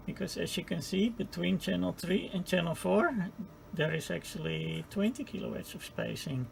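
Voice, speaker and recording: A man giving an informal hands-on technical tutorial, speaking haltingly as he searches for words. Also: high-pitched, expressive, creaky, a little hiss or hum. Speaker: presenter of a radio repair tutorial.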